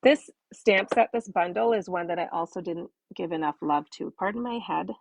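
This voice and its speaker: A woman with a slightly hoarse, tired-sounding voice, chatting informally as she hosts a casual step-by-step craft demonstration with self-deprecating asides. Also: lowish voice, normal speed, dry recording. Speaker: craft tutorial host.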